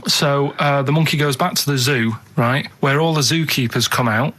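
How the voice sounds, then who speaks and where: Male radio comedy regular, mid-pitched, earnest and unruffled, rambling through casual anecdotes. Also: normal speed, a bit bright, low-quality recording. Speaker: comedy radio show regular telling absurd animal news. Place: radio studio.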